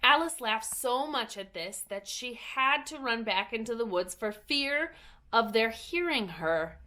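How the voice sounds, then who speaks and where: A woman's voice: a little high, a bit bright. A woman narrating a children's storybook as a story reader.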